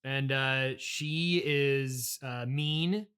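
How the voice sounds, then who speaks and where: A man hosting an improvised comedic interview podcast, speaking in a halting, stammering way. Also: medium pitch, fairly even intonation, sharp tone, clear, resonant tone. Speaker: podcast host.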